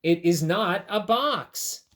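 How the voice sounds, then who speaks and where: A woman's voice: rumbling low, fairly even intonation, unhurried, a little mellow, pitched below the performer's own voice. A woman voicing a small boy bunny from a picture book, stubborn, insistent and frustrated. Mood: frustrated, emphatic. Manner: emphatic, insistent. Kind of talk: picture book read-aloud.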